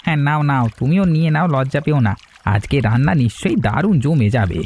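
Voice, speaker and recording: A man's voice: a little high, very animated, fast, darkish tone. An actor voicing a hardworking, genial middle-aged fisherman father in a cartoon. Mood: cheerful. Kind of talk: cartoon voice acting.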